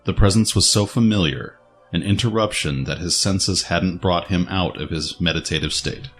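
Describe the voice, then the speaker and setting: Deep male narrator reading a dramatic story, soft music behind. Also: moderate pace.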